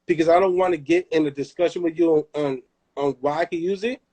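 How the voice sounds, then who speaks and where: A young man talks in a casual live chat, animated and emphatic, often restarting and repeating words. His voice sits a little high, with a mellow, relaxed tone. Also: quick pace. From Southern California. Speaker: host.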